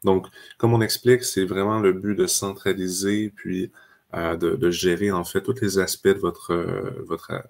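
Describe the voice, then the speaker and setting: A man, presenting software in a webinar and explaining it step by step with frequent fillers. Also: low-pitched, little pitch movement, moderate pace, gravelly. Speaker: customer success manager.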